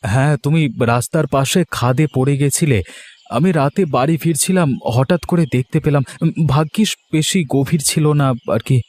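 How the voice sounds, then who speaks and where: A man playing a kind, modest young villager in a cartoon, talking in a gentle, hesitant, reassuring way. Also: a little high, moderate pace, dry recording, close to an everyday voice. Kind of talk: cartoon voice acting.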